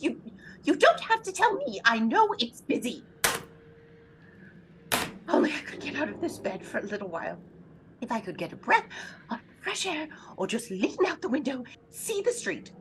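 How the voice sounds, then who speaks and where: A woman's voice: high-pitched, fairly bright tone, slight background noise, exaggerated voice. An actress playing an anxious, demanding bedridden wife in a suspense audio drama, fretful, insistent and imperious as she frets. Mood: agitated, anxious.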